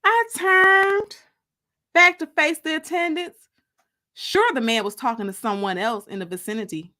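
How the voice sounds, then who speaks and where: A woman giving an expressive first-person dramatic audiobook reading, with theatrical pauses. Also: high-pitched. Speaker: author reading her own novel.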